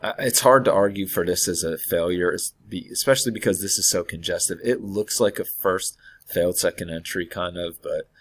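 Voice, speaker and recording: A man walking through a trading chart in a casual, hesitant way, hoarse and losing his voice from illness, often clearing his throat and correcting himself. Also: sits low, moderate pace. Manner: thinking aloud, hesitant with many fillers and self-corrections. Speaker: trading analyst.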